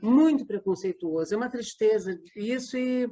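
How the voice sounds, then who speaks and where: An older woman speaks in a fairly high voice with a softened Rio de Janeiro accent. She talks casually as an interview guest, drifting into anecdotes in a conversational way. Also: normal speed, dull audio. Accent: softened Rio de Janeiro Brazilian Portuguese. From Rio de Janeiro, Brazil. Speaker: interview guest, radio drama performer and producer.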